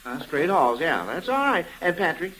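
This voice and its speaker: A man's voice: a little high, very animated, normal speed, sharp tone, a little hiss or hum, poor audio. A man hosting an old-time radio quiz show, encouraging and affirming as he goes, partly ad-libbing. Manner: encouraging, affirming children's answers.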